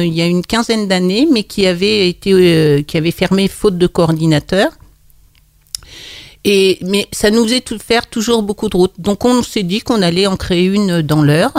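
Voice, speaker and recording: A woman's voice: fairly low pitch, darkish tone, no room sound, studio quality. An older retired woman, chatty and full of hesitations, talking as a guest on a local radio show. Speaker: guest, association coordinator. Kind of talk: casual radio interview.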